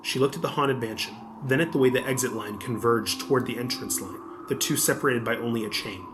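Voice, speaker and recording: A man's voice: mid-range voice, brisk, noisy background. A man narrating a scripted adventure audiobook as the storyteller.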